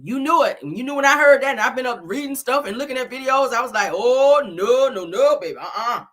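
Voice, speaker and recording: A woman hosting a celebrity gossip show with sassy, animated commentary, her voice a little sick and deeper than usual. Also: fairly quick. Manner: loud, sassy, calls viewers honey and baby.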